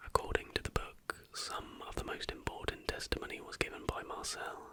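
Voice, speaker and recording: A man reading a factual script as ASMR in a soft, breathy, deliberate whisper, close to the microphone. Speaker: ASMR host. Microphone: close recording microphone.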